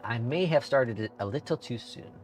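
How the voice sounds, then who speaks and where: A man's voice: mid-range voice, expressive, normal speed, over music. A man with a Midwestern accent who streams a flight simulator, chatting casually and muttering to himself as he works the controls, with some mild swearing. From Upper Midwest (Minnesota/North Dakota). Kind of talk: casual live-stream commentary.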